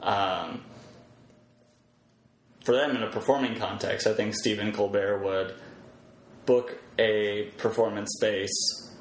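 A man talking casually as a podcast guest, explaining his web development work in measured, halting phrases. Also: fairly low pitch, fairly even intonation. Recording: roomy echo, faint background noise.

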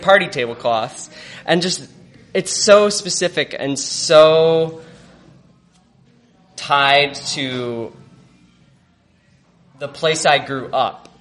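A man telling a reflective personal story to a live audience, halting and searching for words. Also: fairly high pitch, fairly bright tone. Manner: halting, reflective, many fillers and restarts.

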